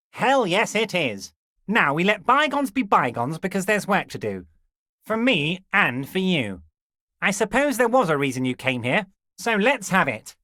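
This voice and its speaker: A smug male union boss from a video game speaks in a high, highly animated voice. He is unctuous and theatrical, dripping with false chumminess and condescension. The sound is close and dry. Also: no room sound, heavily acted. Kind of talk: scripted game character dialogue.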